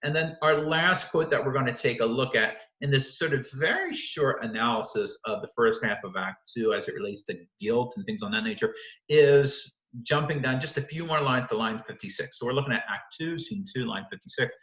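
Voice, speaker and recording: A man's voice: medium pitch, a little fast, fairly bright tone, reverberant, poor audio. A man teaching literature, walking through the text of a play and explaining it line by line, often correcting himself as he goes.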